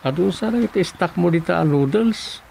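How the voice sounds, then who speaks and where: Man acting a high-voiced grumbling Ilocano husband, noisy recording. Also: expressive, moderate pace, gravelly, mellow, full character voice. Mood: grumbling banter. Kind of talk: Ilocano radio comedy drama acting. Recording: noisy recording with background ambience.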